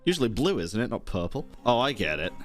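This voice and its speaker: Male streamer, lively intonation, higher pitch, casual gaming commentary, sarcastic asides, game music behind. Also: fast talker. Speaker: streamer playing a video game.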